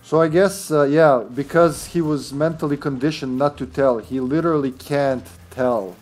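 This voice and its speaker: A man's voice: on the high side, fairly even intonation, moderate pace, darkish tone, soft background music. Chatty man streaming a game on headset. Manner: chatty, laughs often, trails off. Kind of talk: casual gameplay commentary. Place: indoor room at home.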